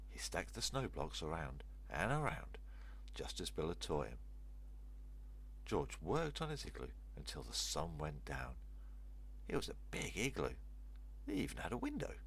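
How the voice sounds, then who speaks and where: An older man reads a children's story aloud in a low voice with a gravelly creak. His intonation moves up and down in a lively storyteller's way. A faint hum sits behind the narration.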